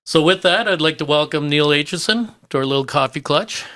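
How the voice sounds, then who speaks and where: A man's voice: a little high, brisk, pushed and bright. A man hosting a podcast, reading a scripted introduction in an upbeat manner. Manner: upbeat, laughs often. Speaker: podcast host. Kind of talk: scripted introduction, then casual chat.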